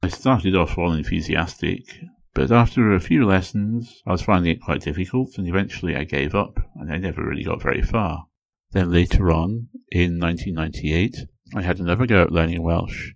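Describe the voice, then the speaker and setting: Low husky-voiced man explaining. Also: rough recording. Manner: measured, explanatory, works through points one by one. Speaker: podcast host. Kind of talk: solo educational podcast talk.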